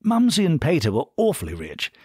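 Story role: courteous, mild toff, thoroughly upper-class British, old-fashioned phrasing, expressive melody, close dry sound. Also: male voice, fairly high pitch, fairly quick, breathy edge, no room sound, voice pushed up, heavily acted. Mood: explaining. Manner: polite, hesitant, old-fashioned diction. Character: genteel, polite, upper-class British big brother.

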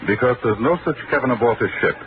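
An actor playing a polite, formal ship's doctor in an old radio drama, speaking in a soothing, courteous way. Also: male voice, medium pitch, quick pace, lightly acted. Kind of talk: 1940s radio acting. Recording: tinny old radio recording with music.

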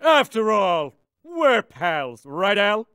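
A man's voice: sits high, very animated, slow, pushed and bright, smooth, dry recording, studio quality, exaggerated voice. An actor playing a friendly wisecracking genie in a video game, chummy and playful like a showman. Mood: chummy, apologetic. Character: wisecracking, friendly larger-than-life male genie. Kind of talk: animated video game acting.

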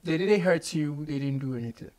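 A man's voice: fairly high pitch, a little fast, darkish tone. A man in his thirties with a Ghanaian accent, hosting a casual radio interview with short interjections and follow-up questions. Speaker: radio show host. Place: small radio studio, indoors.

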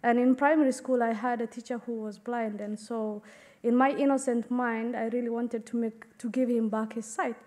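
A woman from Kenya addresses a conference audience from a podium microphone. Her voice sits a little high, and she speaks in an earnest, measured way with the odd filler. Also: steady tone, a little mellow. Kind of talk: prepared talk at a podium. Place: conference stage, indoors.

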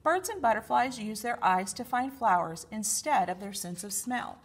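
A middle-aged woman with a slightly husky voice, reading picture books aloud expressively for young children as a library storytime reader. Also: medium pitch, animated, normal speed.

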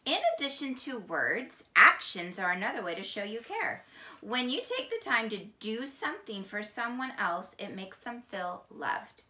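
A middle-aged woman reading a children's picture book aloud in a deliberate, expressive teacher's manner. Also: on the high side. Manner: slow, deliberate, expressive storytelling. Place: small indoor room, seated on couch. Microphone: camera a few feet away. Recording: echoey room.

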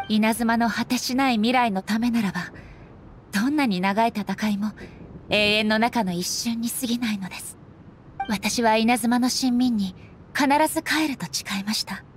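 A woman voicing a resolute warrior deity in an anime game, speaking solemnly with formal, measured phrasing. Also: lightly acted. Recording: faint low rumble behind voice. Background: faint rumbling noise.

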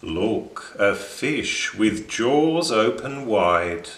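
A man in his thirties reading a rhyming children's picture book aloud in an expressive, measured, dramatic way. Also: fairly low pitch, slight background noise. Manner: measured, dramatic delivery for young listeners. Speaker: children's storybook reader. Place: small indoor room beside bookshelves. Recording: roomy indoor echo.

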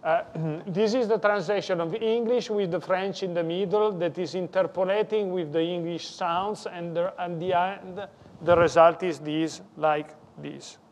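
A man with a strong Italian accent gives a technical lecture in English. He talks in a fairly high voice, stops often, and corrects his words as he goes. He is speaking in a lecture room. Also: steady tone. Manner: halting, self-correcting non-native English. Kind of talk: technical conference talk.

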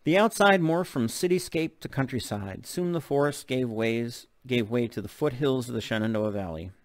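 A man's voice: mid-range voice, lively intonation, moderate pace, dry recording. A middle-aged man reading a novel aloud in an audiobook narration style. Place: open living room at home. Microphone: desk microphone close in front.

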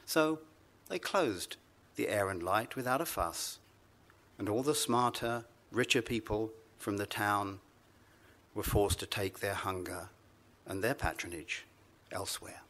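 A man reading his own literary story aloud to a live festival audience, measured and wry. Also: fairly low pitch, fairly bright tone.

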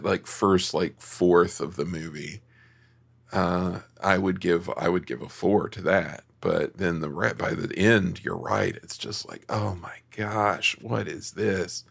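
A man's voice: deep voice, steady tone, takes it slowly, creaky, a bit bright. A man co-hosting a film podcast, chatting casually and thinking aloud with many restarts. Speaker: podcast co-host. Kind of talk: casual film review chat.

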